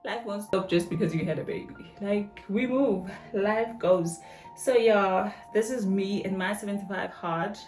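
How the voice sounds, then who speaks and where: A woman's voice: mid-range voice, unhurried, darkish tone. A woman in her thirties chatting casually to her viewers while getting ready in her bathroom, calling them "guys" and dropping slangy asides. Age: 30s. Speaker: vlogger. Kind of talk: casual vlog chat. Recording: echoey bathroom, faint music behind.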